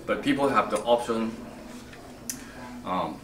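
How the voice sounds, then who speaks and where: A middle-aged man who speaks English as a second language, explaining a product in an informal, halting, repetitive way as a distributor. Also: on the low side, noisy background. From Canada, based in Vancouver. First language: not English. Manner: halting, repeats himself. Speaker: audio kit distributor. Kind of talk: informal product walkthrough interview. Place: hotel room at an audio show, indoors.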